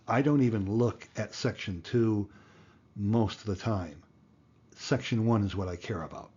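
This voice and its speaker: A man's voice: on the low side, measured pace, darkish tone, slightly rough, muffled recording. A man narrating an explanatory tutorial as an instructor, plain-spoken and going through things step by step. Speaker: amateur radio instructor, video host.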